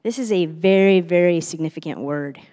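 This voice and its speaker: A woman's voice: fairly low pitch, moderate pace, warm tone. Earnest young woman teaching in a hall. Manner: earnest, reflective, with frequent restarts. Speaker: speaker giving a church talk. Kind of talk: teaching sermon.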